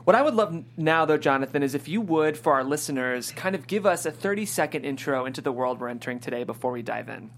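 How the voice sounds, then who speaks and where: A man's voice: medium pitch, lively intonation, quick pace, few pauses, a bit bright, a little hiss or hum. A man hosting a script-reading show, presenting guests and reading out stage directions as a narrator. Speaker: host of a table-read show, also reads stage directions.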